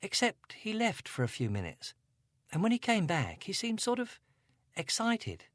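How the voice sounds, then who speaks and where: A man voicing a grieving teenage girl from a storybook, sulky and guarded under a sweet streak. The voice sits high above his own and swings about in pitch, soft and a touch breathy, on a dry recording. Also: wildly swooping pitch, a little slow, no room sound, full character voice. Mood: upset.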